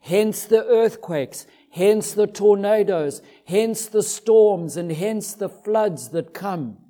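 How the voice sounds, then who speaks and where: A man's voice: sits high, expressive, slow pace, dark tone. A man with a Zimbabwean accent preaching an expository sermon in a didactic way, driving points home with emphatic repetition.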